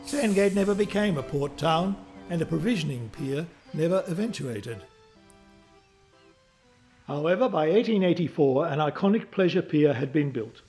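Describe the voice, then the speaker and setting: A man giving measured, scripted documentary narration. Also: on the high side, animated, darkish tone, faint music behind. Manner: measured, informative.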